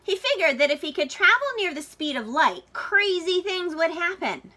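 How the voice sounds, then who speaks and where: Bright, high-voiced woman reading a storybook aloud. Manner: expressive, deliberate emphasis with drawn-out key words. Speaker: teacher reading a picture book aloud. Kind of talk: read-aloud storytelling for children.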